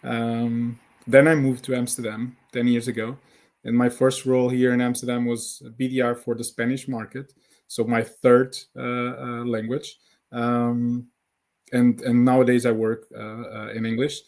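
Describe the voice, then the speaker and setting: Podcast guest: thirties man, Portuguese accent, warm low-key tone, hesitant delivery with many restarts. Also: flat delivery. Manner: halting, many fillers and repeated words. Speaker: guest, founder of a sales community. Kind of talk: conversational podcast interview.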